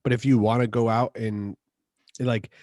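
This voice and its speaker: A man in his twenties with an American accent co-hosts a podcast in a loose, slangy, buddy-like way. His voice sits at a middle pitch. The sound is very dry, with hardly any room to it. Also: little pitch movement, normal speed, darkish tone, no room sound. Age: 20s. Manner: slangy, buddy-like, addressing others as bro and dude. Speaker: podcast co-host. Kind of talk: casual banter.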